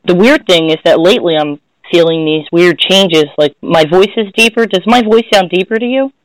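A woman's voice: on the low side, moderate pace, no echo, rough recording. A woman hosting a spiritual talk, speaking in a thoughtful, searching way and often correcting herself. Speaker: host. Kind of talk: reflective casual conversation.

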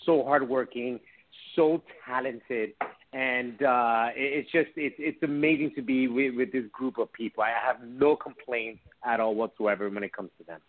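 A man raised in Miami by Cuban parents, an actor guest chatting casually in an interview, friendly and halting with many restarts. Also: mid-range voice, fairly even intonation. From Miami, Cuban American. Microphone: phone call. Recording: tinny phone line.

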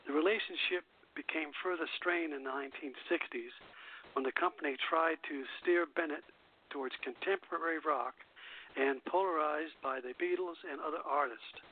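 Higher-pitched male radio host, lo-fi sound. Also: normal speed, breathy edge. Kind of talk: scripted music-history narration. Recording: dull, narrow phone-like radio sound.

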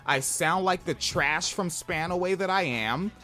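A man from Washington State with a high-pitched voice, co-hosting a casual podcast, profane and animated, laughing often. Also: measured pace, soft background music. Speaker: podcast co-host.

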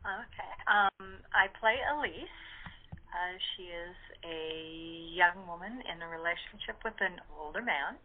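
A woman's voice: fairly low pitch, a little slow. A woman giving casual, hesitant answers as an interview guest. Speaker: guest voice actor in radio plays. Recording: narrow, tinny phone line.

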